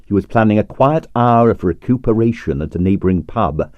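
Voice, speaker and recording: Expressive male audiobook narrator. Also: fairly low pitch, fairly quick, dry recording, highs cut off. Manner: expressive, lively storytelling. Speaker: audiobook reader.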